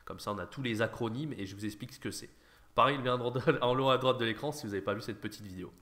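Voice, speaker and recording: Male online host, informal and slightly nasal, rapid casual commentary with a bright, forward tone. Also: medium pitch. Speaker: YouTube host, online French teacher trainer. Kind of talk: casual chat, reaction commentary.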